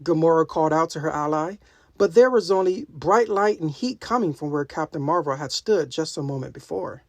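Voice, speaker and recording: Expressive woman reading a children's story. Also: low voice, fairly quick, no echo. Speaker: storybook reader. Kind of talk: animated scripted children's narration.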